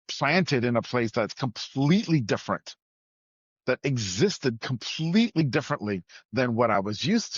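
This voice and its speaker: Male podcast guest from Trinidad and Tobago, mid-pitched, emphatic conversational storyteller, dry and slightly lo-fi audio. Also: no echo. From Trinidad and Tobago, moved to the US at nine. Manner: emphatic, repeats phrases for weight. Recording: slightly dull, lo-fi sound.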